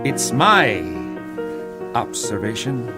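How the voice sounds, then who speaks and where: A man's voice: medium pitch, very animated, slow pace. Coldly arrogant young man, music behind. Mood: cold, imperious. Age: young adult. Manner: clipped, formal, imperious. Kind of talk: audio drama acting.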